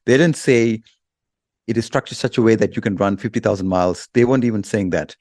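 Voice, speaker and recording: A man's voice: fairly low pitch, fairly quick, darkish tone, dry recording, studio quality. A man speaking as an expert guest in an interview, explaining with examples in a blunt, emphatic way.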